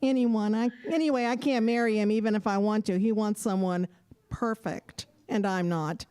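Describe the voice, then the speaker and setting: A woman's voice: medium pitch, fairly even intonation, moderate pace, warm tone, natural voice. A woman playing a sweet, wishful young fairy-tale heroine in a children's audio play, earnest and pleading. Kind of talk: children's audio theater acting.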